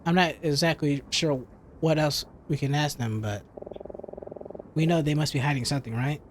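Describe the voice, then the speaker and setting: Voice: mid-range voice, fairly even intonation, moderate pace, noisy background, close to an everyday voice. A streamer voicing a self-doubting young detective student from a visual novel. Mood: calm, reasoning. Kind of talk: visual novel read aloud.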